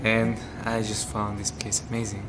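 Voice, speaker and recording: A man's voice: fairly low pitch, completely flat delivery, moderate pace. A young man from Peru, a native Spanish speaker with a Peruvian accent, answering interview questions casually. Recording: background music over the voice.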